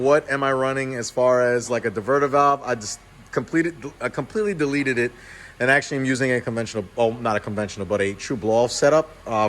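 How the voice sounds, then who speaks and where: A man's voice: medium pitch, a bit bright, slight background noise. A man in his thirties hosting a car vlog, talking casually and often correcting himself mid-sentence. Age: 30s. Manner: casual, with restarts and self-corrections. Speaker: car channel host. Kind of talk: casual shop-floor vlog. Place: auto repair shop, indoors.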